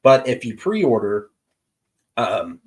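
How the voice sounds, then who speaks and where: A man hosting his show's live stream, chatting casually with viewers in an easygoing, halting way, his voice stuffed up from allergies. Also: medium pitch, sing-song, darkish tone, slightly rough. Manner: easygoing, halting with many pauses. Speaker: show host. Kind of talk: casual livestream chat with viewers.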